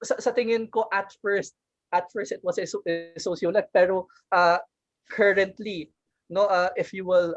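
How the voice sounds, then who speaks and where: A slightly high-voiced man from Southern Mindanao talks on an online academic panel, mixing Filipino and English. He pauses often, with fillers and repeated words. The sound is muffled and dry, with no room echo. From Southern Mindanao, Philippines. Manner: switches between Filipino and English. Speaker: panel speaker.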